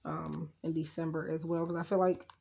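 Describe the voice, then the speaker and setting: A woman hosting a casual, unscripted tarot card reading in a rambling, informal way, her voice a little rough from being sick. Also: low-pitched, flat delivery, normal speed, warm tone. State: getting over sickness, slightly rough voice. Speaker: tarot card reader and channel host.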